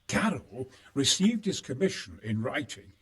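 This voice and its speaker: Crafty, highly animated male schemer. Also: unhurried. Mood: sly, persuasive. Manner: sly, hedging, expressive. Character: crafty, conspiratorial male schemer sheltering in a monastery. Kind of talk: video game cutscene acting.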